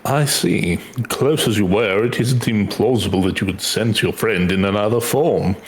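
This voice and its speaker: A man voicing a formal, thoughtful, analytical character in a video game, with a measured and bookish delivery. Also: lowish voice, natural voice. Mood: pensive, puzzled. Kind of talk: acted reading of video game dialogue. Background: game music.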